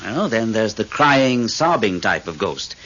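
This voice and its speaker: A man playing a worldly-wise, theatrical ghost mentor in an old-time radio drama, with drawn-out phrasing. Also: fairly low pitch, big pitch swings. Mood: wry, explaining. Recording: muffled old radio recording, loud vehicle noise.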